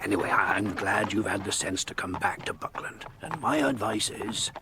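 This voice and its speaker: An actor playing a kindly but gruff old hobbit farmer in a radio drama, with a folksy, plain-spoken manner. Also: male voice, on the low side, flat delivery, moderate pace, background noise, lightly acted. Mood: grave advice. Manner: folksy, plain-spoken, chuckling country turns of phrase.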